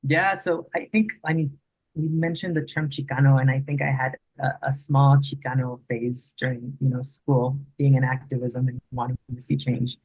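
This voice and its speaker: A man's voice: medium pitch, little pitch movement, moderate pace, darkish tone, breathy edge, no room sound, low-quality recording. A man speaking as a guest in a casual interview, reflective and thoughtful, often restarting his sentences.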